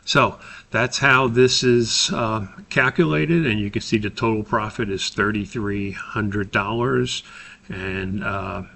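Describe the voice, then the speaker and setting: A man giving a methodical, step-by-step software tutorial as an instructor, explaining in a somewhat hesitant way. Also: on the low side, slow pace, gravelly.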